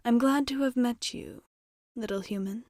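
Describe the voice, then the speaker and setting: A woman voicing a courteous, guarded vampire bartender in an ASMR roleplay, with soft, intimate delivery that dips into whispers and formal, old-fashioned phrasing. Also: on the high side, normal speed, no echo, studio quality, natural voice. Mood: fond, welcoming.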